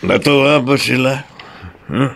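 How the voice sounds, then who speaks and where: A man's voice: mid-range voice, measured pace, vocal fry, fairly bright tone, a little hiss or hum. An actor playing a middle-aged, stubborn drunken father in a Filipino radio drama, with sluggish, slurred delivery. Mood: tipsy, insistent.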